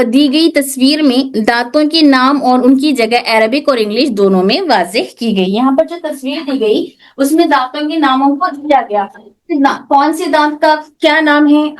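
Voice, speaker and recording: A woman teaching an online class who asks questions and then answers them herself, mixing English terms into her explanations. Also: sits high. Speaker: tajweed teacher.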